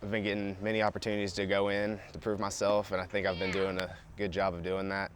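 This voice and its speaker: Low-voiced young college pitcher. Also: male voice, monotone, fast talker, a crowd in the background. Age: young adult. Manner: casual, plain-spoken, hesitant. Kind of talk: interview.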